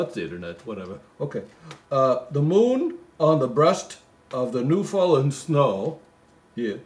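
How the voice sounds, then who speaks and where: A man reading a poem aloud with casual joking asides, in a rambling, comically baffled manner. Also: medium pitch. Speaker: host reading a Christmas poem. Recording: echoey room.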